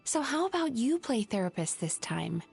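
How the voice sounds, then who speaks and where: An actress playing a composed young woman with a clinical, gentle yet firm way of speaking, in a game cutscene. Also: on the high side, very animated, normal speed, creaky, husky, soft background music, exaggerated voice. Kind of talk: video game cutscene dub.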